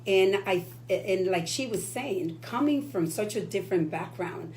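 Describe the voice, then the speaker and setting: A woman's voice: medium pitch, lively intonation, unhurried, a little mellow, a little hiss or hum. A woman from the Dominican Republic co-hosting a radio talk show, earnest and enthusiastic. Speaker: radio co-host.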